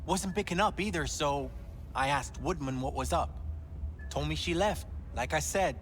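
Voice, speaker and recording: An actor playing a nervous young male club worker who hedges and stumbles through his answers over soft club music. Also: on the high side, normal speed, slight background noise, close to an everyday voice. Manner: hesitant, evasive, fidgety.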